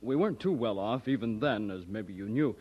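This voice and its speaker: An actor playing a polite, worried church representative in a radio drama, measured and deferential. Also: male voice, fairly low pitch, animated, husky. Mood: worried, pleading. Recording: dull old radio drama recording.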